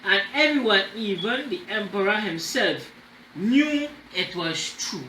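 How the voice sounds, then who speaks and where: Slow, animated male children's storyteller. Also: sits high. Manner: animated, deliberate, checking in with listeners. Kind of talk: expressive read-aloud with explanatory asides. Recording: roomy echo, faint background noise.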